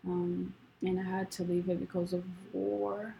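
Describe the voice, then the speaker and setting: Slow, gravelly-voiced young woman. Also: lowish voice, flat delivery, a little hiss or hum, low-quality recording. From Rwanda. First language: not English. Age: young adult. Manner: soft-spoken, hesitant, many fillers and restarts. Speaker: guest, college student. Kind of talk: casual conversation.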